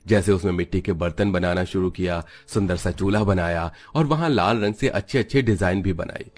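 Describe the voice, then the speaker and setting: Casting: male narrator with a deep voice for scripted children's cartoon tales. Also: brisk.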